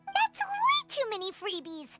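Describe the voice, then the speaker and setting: A woman voicing a tiny childlike floating guide companion in an anime game, squeaky, excitable and chirpy. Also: unhurried, full character voice. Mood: amazed. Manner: excitable, refers to herself in third person. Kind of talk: anime game character acting. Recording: loud music behind the voice.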